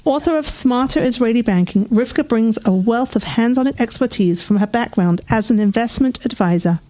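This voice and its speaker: A woman hosting an interview podcast, reading a guest introduction and then asking questions. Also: a little high. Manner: warm-up reading then lively reactions. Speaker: podcast host.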